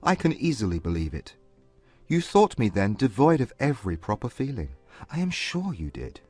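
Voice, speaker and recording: A man playing a proud, contrite gentleman in love, speaking with formal intensity in a dramatized classic romance audiobook. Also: mid-range voice, sing-song, husky, no echo, faint music behind. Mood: remorseful. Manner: formal, intense, measured period phrasing. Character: earnest, proud gentleman suitor, contrite and lovestruck.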